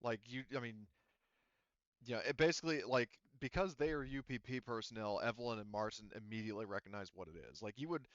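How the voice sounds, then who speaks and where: Clear-voiced man running a tabletop game. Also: on the low side, fairly bright tone, no room sound, dull audio. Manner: informal, swears freely. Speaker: game master. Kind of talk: casual tabletop game narration.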